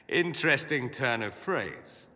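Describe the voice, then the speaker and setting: A man's voice: mid-range voice, bright, forceful tone. An actor playing a witty, proud, bitter young nobleman mage in a video game, with a sardonic, theatrical and eloquent delivery. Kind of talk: dramatic video game acting. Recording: long hall reverb.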